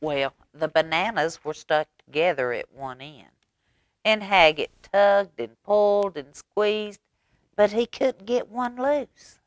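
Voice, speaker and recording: A woman reading a picture book aloud at storytime for young children, animated, with chatty asides to her listeners. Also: mid-range voice, takes it slowly, no room sound.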